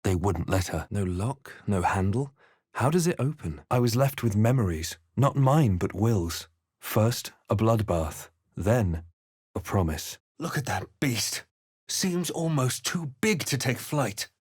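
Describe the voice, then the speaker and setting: An actor playing a grave, measured fantasy adventurer in a video game, narrating with solemn, deliberate theatricality. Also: male voice, fairly low pitch, big pitch swings, darkish tone, slightly rough, no echo, studio quality, full character voice. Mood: wry, curt. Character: measured, grave male fantasy adventurer recalling his journey. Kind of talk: scripted game narration and character lines.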